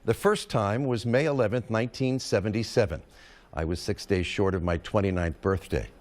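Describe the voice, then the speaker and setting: A man in his seventies, a news anchor with a hoarse voice, speaking in a measured, steady, reflective way. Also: on the low side. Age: 70s. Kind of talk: live news anchoring and farewell address.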